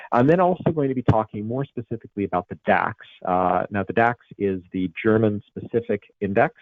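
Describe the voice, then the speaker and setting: Presenter, male, American accent, low, heard over a thin phone-like call line. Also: no echo. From Italy. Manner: many fillers and restarts, conversational lecturing. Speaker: webinar presenter. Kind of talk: presenting a finance webinar. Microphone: video call. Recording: tinny phone-like line, dry.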